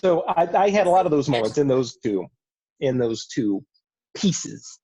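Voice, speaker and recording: A man chatting casually on a video call, halting and circling back over his phrases. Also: mid-range voice, very animated, mellow. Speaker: cast member. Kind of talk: casual chat. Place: online group video call. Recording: dull lo-fi video call audio.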